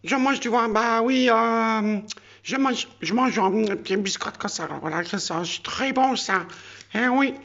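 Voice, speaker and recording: A man voicing a greedy, always hungry male pet animal that talks slowly and simply. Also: high voice, vocal fry, a bit bright, muffled recording, pitched above the performer's own voice, heavily acted. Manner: slow, simple, drawn-out talk.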